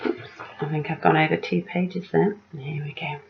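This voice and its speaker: A woman reading a children's picture book aloud like a teacher, dramatic and measured, putting questions to her young listeners. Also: deep voice, steady tone, gravelly, background noise. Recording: dull, lo-fi sound.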